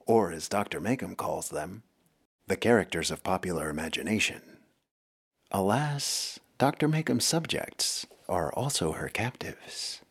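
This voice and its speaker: A man's voice: low voice, expressive, unhurried, breathy edge. A man narrating a scripted story in a theatrical, suspenseful manner.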